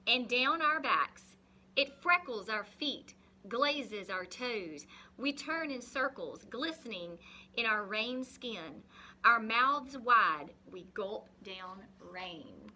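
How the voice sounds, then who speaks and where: A woman teacher reading a picture book aloud expressively to young children, pausing to ask guiding questions and explain things simply. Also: fairly high pitch, lively intonation, pushed and bright, a little hiss or hum.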